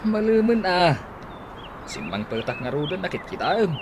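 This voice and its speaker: A man's voice: a little high, sing-song, moderate pace. A man playing an easygoing, teasing, thrifty husband with an Ilocano accent in a radio comedy drama. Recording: noisy radio drama recording, ambient sounds.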